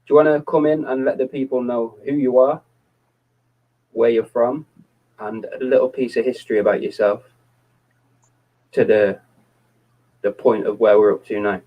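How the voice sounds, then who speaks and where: A man's voice: mid-range voice, fairly even intonation, normal speed, long pauses. Male host, slightly dull sound. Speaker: podcast host. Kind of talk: casual hosting chat. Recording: slightly dull online video call audio.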